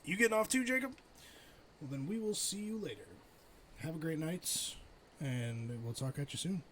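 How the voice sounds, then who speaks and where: A man's voice: a little high, expressive, normal speed, a bit bright, rough recording. Casual male game streamer over background noise. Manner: thinks aloud, muttering while playing and chatting with viewers. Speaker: livestreamer.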